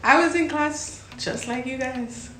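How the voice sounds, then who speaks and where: A woman's voice: high voice, a little slow, bright, forceful tone, reverberant, slight background noise. A Jamaican woman, a medical student, giving earnest, reassuring advice to first-year students and repeating points for emphasis.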